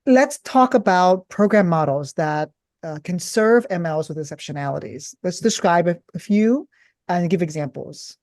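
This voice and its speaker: A woman hosts an education interview, reflecting on what her guests said and steering to the next topic. Her voice is low and mellow, and she speaks in a calm, measured way. The sound is dry and close. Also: no echo. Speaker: host. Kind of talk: podcast interview.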